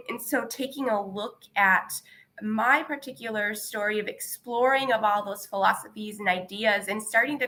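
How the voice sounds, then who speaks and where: A woman speaking on a webinar panel, reflective and searching, pausing often with fillers. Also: mid-range voice, sharp tone. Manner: thoughtful, searching, many pauses and fillers.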